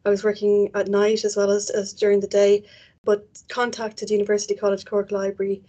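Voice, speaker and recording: A woman's voice: medium pitch, monotone, a little fast, mellow. A woman with a Dublin Irish accent, an archivist answering interview questions in a chatty way, often restarting her words. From Ireland.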